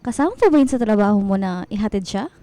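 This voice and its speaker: An actress playing a meek but suspicious devoted wife in a radio drama. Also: female voice, mid-range voice, expressive, fast, warm tone, no room sound, lightly acted. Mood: hurt, gentle. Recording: music behind the dialogue.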